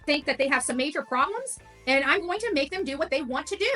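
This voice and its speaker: A woman's voice: high voice, lively intonation, fast talker, sharp tone, faint music behind. A woman talking in an informal livestream monologue, defiant, emphatic and combative. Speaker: livestreamer covering a case.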